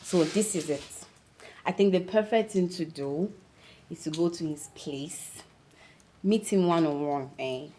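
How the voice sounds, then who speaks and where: A woman's voice: lowish voice, unhurried, warm tone, a little hiss or hum, natural voice, low-quality recording. A woman playing a teasing, dramatic young village friend in a film drama, with a Nigerian accent and a theatrical way of talking. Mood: advising. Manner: theatrical, teasing, interjects with local words. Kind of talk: naturalistic film drama dialogue.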